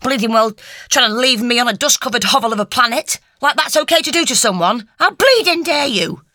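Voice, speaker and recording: A woman playing a fiery sharp-tongued woman. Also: a little high, expressive, a little fast, dry recording, studio quality, voice pushed up. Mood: furious. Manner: indignant, scolding tirade.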